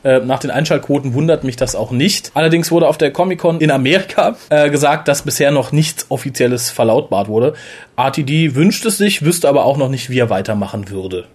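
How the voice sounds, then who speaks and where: A man's voice: mid-range voice, animated, brisk, a little mellow, slightly rough. A man hosting a casual fan podcast, chatting freely and giving his opinions. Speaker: podcast host.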